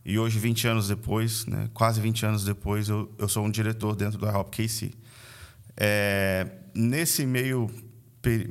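A man with a capixaba accent from Espírito Santo, in his late thirties, speaking in a fairly low voice. He tells his own story as a podcast guest in an earnest, reflective way. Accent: Brazilian Portuguese, Espírito Santo (capixaba). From Vitória, Espírito Santo, Brazil. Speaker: guest, missionary and church leader. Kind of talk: conversational podcast interview.

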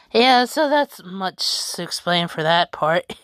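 A woman hosting a wrestling fan podcast, chatting casually in a halting way with lots of fillers. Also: on the low side, animated, a bit bright, no echo, clean studio sound. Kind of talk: casual chat reading news and tweets.

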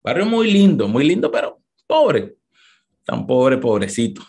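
Husky Venezuelan man, passionate motivational talk. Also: big pitch swings, unhurried, darkish tone. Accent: Venezuelan Spanish. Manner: emphatic, rising to high passionate peaks; repeats words, talks straight to the listener. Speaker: motivational speaker. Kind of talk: motivational talk to a live audience.

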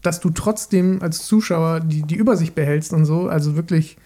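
A man co-hosting a podcast, chatting casually with somewhat halting phrasing. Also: a little high, dark tone. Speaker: podcast co-host. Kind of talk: casual film chat.

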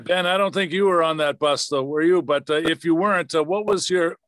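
A man's voice: a little high, a little fast, a bit bright, no room sound. A man hosting a sports podcast, speaking as a presenter introducing and questioning his guests. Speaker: podcast host, former radio play-by-play announcer. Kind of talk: hosted sports podcast interview.

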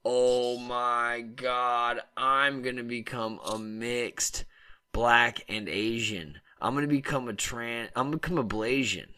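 A man's voice: medium pitch, steady tone, slow, bright, forceful tone, smooth, dry recording. A man co-hosting a comedy podcast, trading crude, joking banter and riffing on absurd ideas. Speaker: podcast co-host.

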